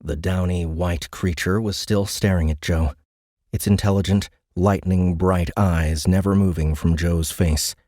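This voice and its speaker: A man with a low voice narrates fiction for an audiobook. His delivery is dramatic, scripted storytelling. The recording is close and dry, free of room sound. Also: fairly even intonation, measured pace, darkish tone, no echo.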